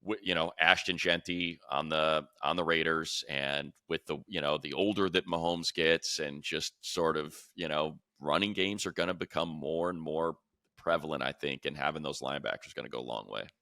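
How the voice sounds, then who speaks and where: A man's voice: very deep voice, fairly even intonation, normal speed, vocal fry, pushed and bright, no echo, studio quality. A man with an American accent hosting a casual sports talk show, speaking in a colloquial, emphatic way.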